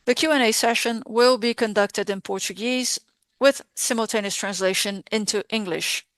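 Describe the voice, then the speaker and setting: A woman interpreting live on a formal corporate earnings call, speaking in measured, halting short phrases. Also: fairly high pitch, no echo.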